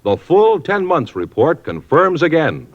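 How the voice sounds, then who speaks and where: A man's voice: fairly high pitch, sing-song, measured pace. A man reading a radio cigarette commercial in an earnest, persuasive manner. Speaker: commercial announcer. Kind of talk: 1950s radio ad read. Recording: dull old radio recording.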